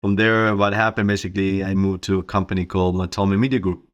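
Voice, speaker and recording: A man's voice: sits low, level tone, quick pace, highs cut off. A middle-aged man with an Israeli accent giving informal, conversational answers as an interview guest. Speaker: interview guest, company co-founder.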